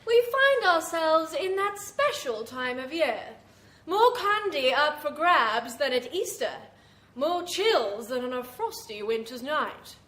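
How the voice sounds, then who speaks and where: Woman as high, slow, macabre horror hostess. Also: very animated, a bit bright, a faint crowd, slight background noise, exaggerated voice. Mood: gleeful, seductive. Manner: theatrical, teasing, drawn-out phrasing. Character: Belladonna, beguiling, gleefully macabre horror show hostess. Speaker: host. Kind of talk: 1940s horror radio acting. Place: small theatre before an audience. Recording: echoey live stage.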